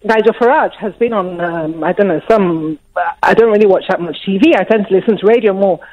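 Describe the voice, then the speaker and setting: Upset Nigerian-accented woman calling in. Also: mid-range voice. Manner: emotional, upset, talks in rushes with many restarts. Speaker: caller. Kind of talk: radio phone-in call. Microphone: phone. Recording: muffled phone line.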